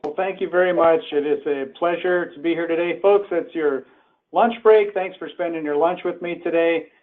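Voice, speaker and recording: A man's voice: on the high side, normal speed. An earnest, conversational man born in Iran, giving a presentation from home over a call. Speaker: webinar speaker. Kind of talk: presentation talk. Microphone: remote call. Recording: tinny phone-like web call audio.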